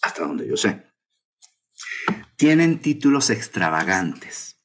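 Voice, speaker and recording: A man's voice: deep voice, sing-song, slow pace. A middle-aged man gives a conversational conference talk over slides, often adding rhetorical tag questions. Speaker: lecturer on academic publishing. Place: indoor room at a desk. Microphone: video call webcam mic.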